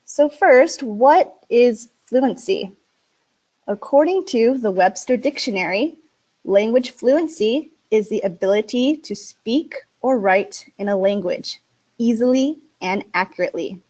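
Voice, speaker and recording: A woman who teaches English as a second language, giving an upbeat, clearly spoken webinar presentation. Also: fairly high pitch, lively intonation, a little slow, a little mellow, lo-fi sound. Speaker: ESL instructor.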